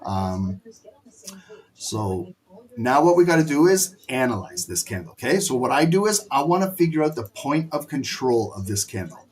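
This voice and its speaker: A hoarse middle-aged man teaching a live trading lesson in an emphatic, scolding way. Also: medium pitch, very animated, moderate pace, a little mellow. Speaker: trading instructor.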